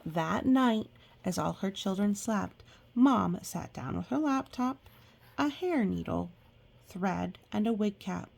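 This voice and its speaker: A woman reads a picture book aloud at an easy, slow pace. Her voice is raspy with plenty of fry. She slips into chatty asides, explaining gently as if to small children. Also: mid-range voice. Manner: expressive, exclaiming and gasping in reaction to pictures.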